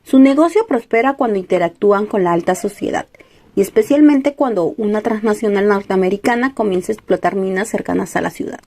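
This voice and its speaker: A young woman reads a script as a student presenter. Her tone is soft-edged and mellow, and her pitch sits in the middle range. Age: young adult. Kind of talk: scripted narration.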